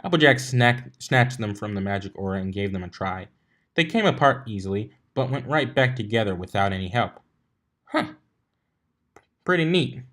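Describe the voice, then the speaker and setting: A man's voice: fairly low pitch, animated, fairly quick, a bit bright. A man narrating a fan fiction story aloud in an informal way, stumbling over and rereading lines now and then.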